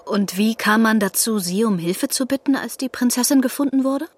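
An actress playing a sharp-witted young female investigator who asks probing questions. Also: a little high, moderate pace, slightly rough. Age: young adult.

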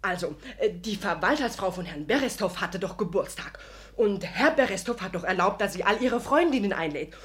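A woman's voice: mid-range voice, animated, normal speed, natural voice. An actress playing a chatty, saucy young serf maid in a German radio drama, gushing and rambling as she talks. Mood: gossipy, excited. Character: cheeky, chatty young serf maid, loyal and fun-loving.

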